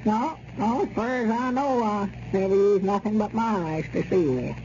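High-pitched befuddled old Southern hillbilly. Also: male voice, normal speed, a little mellow. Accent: rural Southern American. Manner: folksy, befuddled, muttering. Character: dim-witted, kindly, literal-minded old backwoods man. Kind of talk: 1930s-40s radio comedy acting. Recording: dull, noisy old radio recording.

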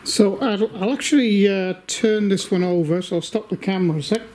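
An older man giving a casual update from his home workshop, talking slowly with lots of ums and restarts while holding the camera. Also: high-pitched, a little mellow, slight background noise. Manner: slow, rambling, many fillers. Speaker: workshop video maker.

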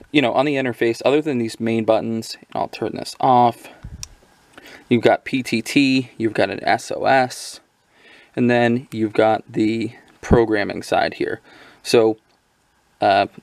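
A man giving a casual, off-the-cuff product overview in a halting, conversational manner. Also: medium pitch, vocal fry, no room sound. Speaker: product reviewer. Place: indoors at a tabletop.

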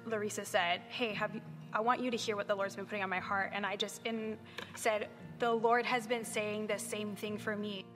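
A woman's voice: mid-range voice, flat delivery, normal speed. A young woman speaking sincerely and steadily about her faith in a seated interview in a large indoor hall. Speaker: church member sharing testimony. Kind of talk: reflective personal testimony. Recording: reverberant hall with soft music behind.

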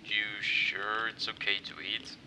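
A man voicing a sardonic, bored masked soldier in a comic dub, with a dry deadpan manner. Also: fairly low pitch, slow. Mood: doubtful. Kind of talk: amateur comic dub voice acting. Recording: track with clear background noise.

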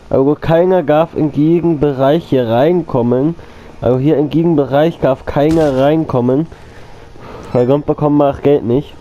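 A man's voice: fairly high pitch, dark tone, muffled recording. A man with a cold, coughing now and then, giving rambling casual commentary on his gameplay and talking straight to his viewers. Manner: rambling, repeats himself, addresses viewers as friends. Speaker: gaming channel host.

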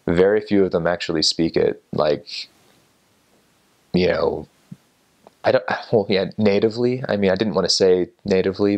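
A young man in his twenties with a low, gravelly voice, full of fry. He talks with an interviewer in a relaxed, thoughtful manner and often pauses and restarts. He is seated indoors in an armchair by a window. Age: 20s. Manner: thoughtful, with many fillers and restarts. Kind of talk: relaxed recollection to an off-camera interviewer.

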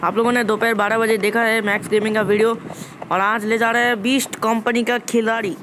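A man's voice: high voice, level tone, fairly quick. A man hosting a gaming channel with casual gameplay commentary, repeating and restarting phrases as he addresses viewers. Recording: noisy, vehicle engine sound behind voice.

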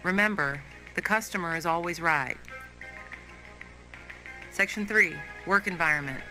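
A man playing a polite, impersonal corporate orientation narrator, reading a training script in measured, formal tones. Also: a little high, pushed and bright, low-quality recording. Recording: clear music bed behind.

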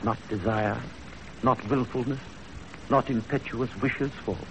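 An actor playing a stern older employer in a 1930s radio drama, speaking formally with measured authority. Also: male voice, mid-range voice, steady tone, natural voice. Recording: faintly noisy 1930s radio recording.

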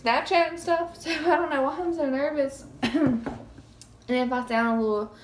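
A woman's voice: high voice, fairly even intonation, moderate pace. A young woman, chatting nervously in a casual review vlog, her voice slightly scratchy from bronchitis, recorded close on a phone camera in a small bedroom. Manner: chatty, nervous, keeps saying y'all. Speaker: vlogger.

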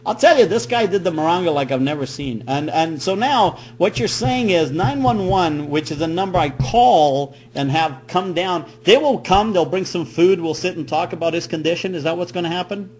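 A man's voice: sits high, animated, normal speed, few pauses. A middle-aged man making an improvised comic prank call, rambling with feigned naive worry. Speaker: prank caller. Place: small room at home, indoors. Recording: noisy webcam audio with hum.

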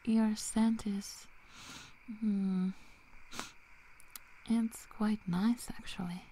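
A woman playing a young, protective, teasing female werewolf in a soft, half-whispered ASMR roleplay, with long pauses. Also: mid-range voice, steady tone, a little hiss or hum. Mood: guarded, softly teasing. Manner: slow, hushed, breathy delivery with long pauses.

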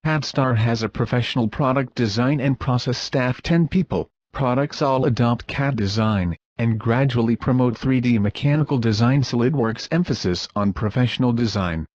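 A man's voice: medium pitch, moderate pace, few pauses, a little mellow, no room sound. A man reading a scripted promotional voice-over for a factory, in stiff, awkward English. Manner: stiff, reads awkward non-native English text. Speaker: corporate video narrator. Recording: dull, lo-fi, dry recording.

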